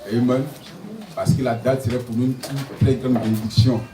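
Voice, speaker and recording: A man's voice: medium pitch, flat delivery, moderate pace. Male pastor in his forties, sermon delivery, punctuates with alleluia and amen; recorded from a distance in a roomy hall with noise behind. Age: 40s. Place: church hall. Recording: echoey hall, distant mic, noisy.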